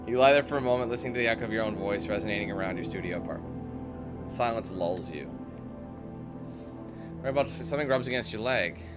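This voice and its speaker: A man's voice: fairly low pitch, quick pace, long pauses. Chatty man narrating his gameplay. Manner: chatty, thinks out loud, talks to viewers as squad. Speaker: gaming YouTuber. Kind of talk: let's-play commentary mixed with reading game text aloud. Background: game music.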